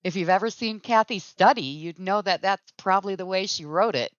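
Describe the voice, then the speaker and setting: An actress playing a patient, gently reproving middle-aged mother in a radio sitcom, with an American accent and a soothing manner, on a dull old recording. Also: mid-range voice, brisk, no room sound, lightly acted. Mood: weary, placid.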